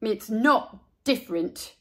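A woman narrator plays a mocking schoolboy in a children's storybook. His voice swoops widely and slows right down, stretching words in exaggerated disgust. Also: on the high side, lively intonation, a bit bright.

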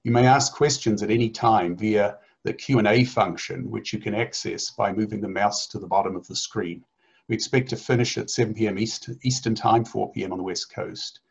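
Host type: middle-aged man, husky and low, formal scripted intros, dull call audio. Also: steady tone, fairly quick. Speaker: event host introducing speakers. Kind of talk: read introduction. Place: indoor room with virtual city backdrop. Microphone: laptop webcam on video call.

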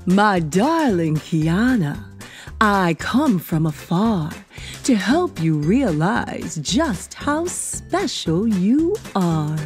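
A woman voicing a grand, kindly fairy godmother in a storybook, encouraging and measured. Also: mid-range voice, very animated, soft background music, voice pushed down. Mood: warm, reassuring.

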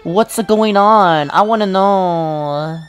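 Woman as a very slow, goofy schoolgirl. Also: mid-range voice, clean tone, natural voice. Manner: silly baby-talk, drawn out. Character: goofy, playful schoolgirl with babyish mangled words. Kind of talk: fan anime parody dub.

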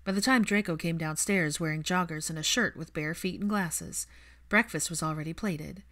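Lower-pitched woman narrating fiction. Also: normal speed, a bit bright. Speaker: audiobook narrator.

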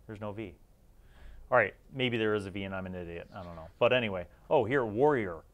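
Man, lowish voice, casual reviewer, informal, enthusiastic, thinking out loud. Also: fairly quick, highs cut off. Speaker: host of a tabletop gaming review channel. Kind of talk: casual rambling magazine review.

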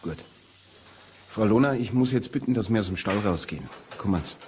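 An actor playing a calm, businesslike policeman in a radio drama, polite and procedural, with a Bavarian accent. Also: lowish voice, brisk, natural voice. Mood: calm, official. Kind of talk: naturalistic radio drama acting. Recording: noisy barn and farmyard scene.

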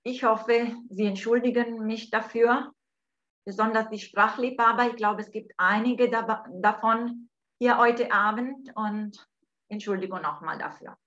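Slow Italian-accented woman hosting online. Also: a little high, fairly bright tone. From Italy. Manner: slow, hesitant with many fillers. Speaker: host. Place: home room, indoors. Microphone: video call. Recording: dull lo-fi video call audio.

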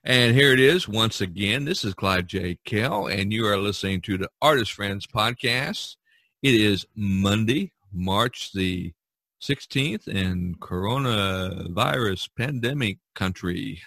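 A man hosting an artists' podcast over a video call, rambling and halting with many fillers. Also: on the low side, expressive, a bit bright, no room sound. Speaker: podcast host. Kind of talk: casual video-call chat.

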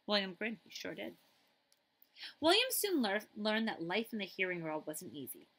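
A middle-aged woman reading a children's picture book aloud, with dramatic emphasis and pauses. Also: mid-range voice, very animated, fairly bright tone.

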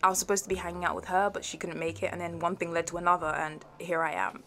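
A woman's voice: on the low side, flat delivery, fast talker. A woman playing a playful, shyly fond young best friend in an intimate audio roleplay, giggly and hesitant. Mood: sheepish. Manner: giggly, hesitant, soft trailing asides. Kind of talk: intimate audio roleplay, one side of a conversation.

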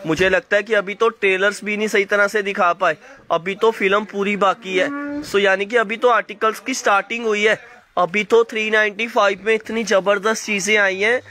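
A man running a fast live sale of clothing, calling viewers by name and coughing from a sore throat. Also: high voice, steady tone, bright, forceful tone. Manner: fast hyped patter, addresses viewers by name. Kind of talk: live sales pitch to viewers. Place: indoor shop floor, clothes laid on mat.

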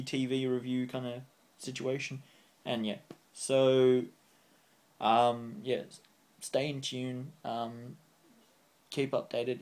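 A young man signing off his vlog, rambling off the cuff and earnest, his voice getting a bit croaky. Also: mid-range voice, a little mellow. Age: young adult. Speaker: channel host. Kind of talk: unscripted vlog sign-off. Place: small room indoors, on a couch.

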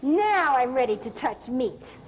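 A woman's voice: sits high, sing-song, unhurried, noisy background. A woman co-hosting a comic cooking show, playful and squeamish, telling jokes and questioning the recipe.

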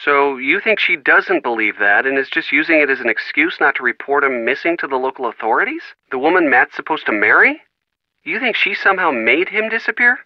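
A man's voice: a little high, dry recording. A man playing a supportive young boyfriend in a video game, casual, wry and affectionate over a phone line. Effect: telephone filter. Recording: band-limited phone-line sound.

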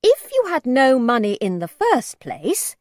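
A woman doing a frosty, stern mother, swooping pitch. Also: wildly swooping pitch, slow, no room sound, clean studio sound, full character voice. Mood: suspicious. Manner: sharp, curt, haughty. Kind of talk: storybook character voice.

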